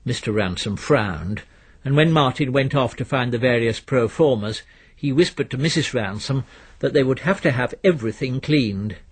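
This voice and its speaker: Male narrator, scripted storytelling, mid-pitched, husky. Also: expressive, normal speed.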